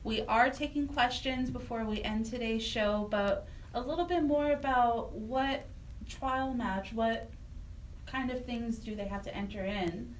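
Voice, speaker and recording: Higher-pitched woman hosting radio. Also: measured pace. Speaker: radio host. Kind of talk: radio interviewing. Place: radio show. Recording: distant mic with faint noise.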